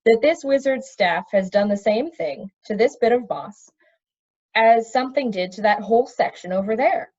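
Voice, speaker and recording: A woman's voice: on the high side, moderate pace, a little mellow, breathy edge, muffled recording. A woman voicing a plain-spoken, slightly irritable king in a storybook reading, with an urgent manner. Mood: cautious, pressing.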